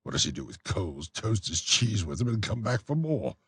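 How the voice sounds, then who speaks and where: A man's voice: fairly low pitch, very animated, normal speed, a bit bright, husky, dry recording, clean studio sound, voice pushed up, heavily acted. A man voicing a pompous, self-important male parish beadle who lectures in a blustering way. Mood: indignant. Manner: blustering, self-satisfied, preachy.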